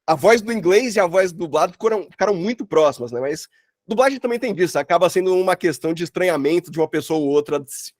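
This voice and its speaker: A man's voice: high voice, expressive, fast, dry recording, clean studio sound. A man teaching an online workshop in an informal, chatty way full of slang and filler tags. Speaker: workshop instructor, sound designer. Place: online video call.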